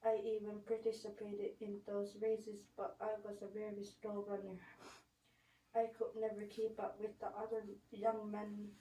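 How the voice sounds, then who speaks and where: A woman's voice: mid-range voice, completely flat delivery, moderate pace, dark tone. A woman reading an elder's life story aloud. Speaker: reader at a school story sharing. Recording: echoey room, distant mic, faint noise.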